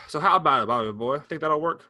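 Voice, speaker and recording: A man's voice: fairly high pitch, animated, a little fast, sharp tone, no echo. A man reading the part of an easygoing older farmer brother, coaxing and teasing in a folksy way. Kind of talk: staged play reading.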